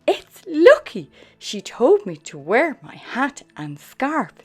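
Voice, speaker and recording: A woman's voice: high voice, wildly swooping pitch, slow, darkish tone, slightly rough, soft background music, voice pushed up, heavily acted. Woman voicing a curious little puppy boy. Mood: eager.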